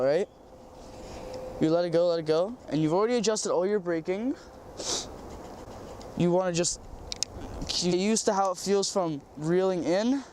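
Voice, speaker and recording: A man's voice: high voice, a little mellow, a little hiss or hum. A young man with a stuffy nose from a cold, rambling through a casual fishing how-to with lots of "um"s and repeats. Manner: rambling, repeats words, says um often. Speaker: fishing tutorial host. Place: outdoors by a small farm pond.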